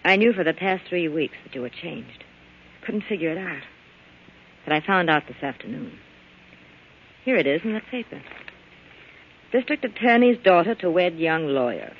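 An actress playing a tough, possessive nightclub boss wounded in love, with a sardonic, melodramatic delivery in old-time radio style. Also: female voice, fairly low pitch, animated, moderate pace, long pauses. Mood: hurt. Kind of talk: 1940s radio drama acting. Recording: dull, slightly noisy old radio recording.

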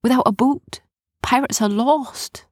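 A woman voicing a fierce but warm-hearted female pirate leader in a children's story, with colloquial turns of speech. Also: sits high, a little slow, no echo, studio quality, pitched above the performer's own voice. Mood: grave, sorrowful. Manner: colloquial, says yous and lassie.

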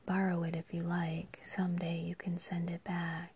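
A woman's voice: lowish voice, monotone, unhurried, darkish tone, breathy edge, heavily acted. A woman voicing a devoted young peasant woman, speaking in urgent, pleading whispers.

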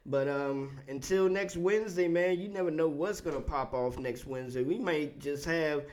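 A man hosting a casual talk show, relaxed and friendly, with a slangy way of talking. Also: fairly high pitch, normal speed. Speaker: podcast host.

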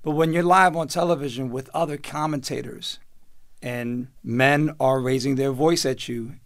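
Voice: mid-range voice, fairly even intonation, moderate pace. A podcast co-host asking chatty, upbeat interview questions. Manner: chatty, upbeat, lots of fillers.

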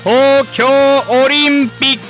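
A man playing a rousing, showy host of an old-fashioned live TV special, with formal, emphatic, drawn-out announcing. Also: very high voice, fairly even intonation, fairly bright tone, heavily acted. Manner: grandiose, emphatic, drawn-out phrasing. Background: background music.

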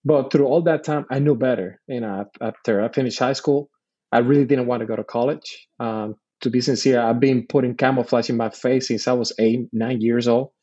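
A man's voice: mid-range voice, fairly even intonation, fairly quick, mellow, husky, muffled recording. A man in his forties from Puerto Rico, a podcast guest and Army veteran who explains things earnestly and patiently in casual talk. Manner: earnest, explains patiently with many "you know" fillers.

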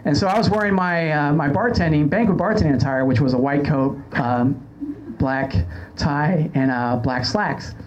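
A man's voice: fairly high pitch, normal speed. An American man hosting a podcast, bantering wryly in casual comedic chat. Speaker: podcast host. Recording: muffled audio with background noise.

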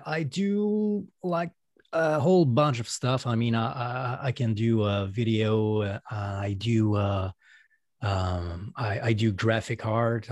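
French Canadian man, halting and dry. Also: fairly low pitch, darkish tone, no room sound. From Quebec, Canada. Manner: hesitant, many fillers and restarts. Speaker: guest musician. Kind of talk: casual podcast chat.

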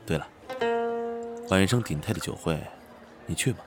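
Actor as chatty, gossipy, expressive male friend. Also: deep voice, moderate pace, over music. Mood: teasing, curious. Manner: casual, gossipy, teasing. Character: chatty, nosy, worldly male friend of a rich heir. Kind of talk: audio drama dialogue.

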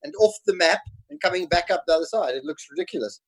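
A man's voice: sits high, lively intonation, quick pace, creaky, slightly rough, rough recording. A South African man in his fifties, a guest in casual conversation, explaining things patiently and laughing easily. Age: 50s. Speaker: podcast guest.

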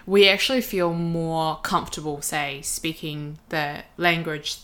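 A woman's voice: low voice, level tone, unhurried, a bit bright. A young woman with a New Zealand accent, chatting casually and reflectively as a co-host and often checking that the listener agrees. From New Zealand, Chinese-born heritage. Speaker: podcast co-host. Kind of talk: casual chat.

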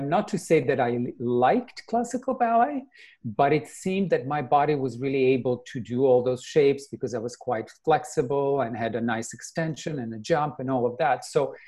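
A middle-aged man from Serbia, a ballet dancer telling his life story in an interview, thoughtful and unhurried. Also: medium pitch, expressive, a little mellow.

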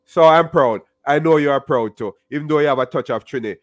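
A man with a strong Jamaican accent interviewing a guest in a relaxed chat, laughing often and repeating himself. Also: a little high, fairly quick, no room sound.

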